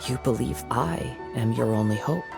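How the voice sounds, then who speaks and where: An actor voicing a calm, perceptive young wandering swordsman who speaks in a measured, analytical way. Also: mid-range voice, creaky. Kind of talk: video game voice acting. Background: game background music.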